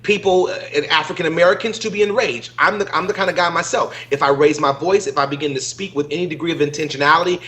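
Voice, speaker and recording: A middle-aged man co-hosting a political panel discussion, speaking in a measured, earnest and emphatic way. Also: on the high side, sharp tone. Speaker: co-host.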